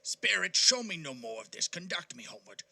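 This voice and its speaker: A troubled old miser played by a man in an audio play. His fairly high voice is very sharp and gravelly, agitated and earnest with remorse. Faint music runs underneath. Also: sing-song, brisk, exaggerated voice. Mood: anguished pleading. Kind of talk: theatrical audio drama acting.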